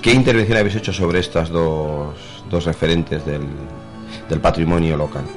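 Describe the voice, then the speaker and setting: A man's voice: sits low, fairly even intonation, normal speed, soft background music. A man co-hosting an unscripted radio conversation, with hesitant, roundabout phrasing. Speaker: radio section co-host. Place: radio studio.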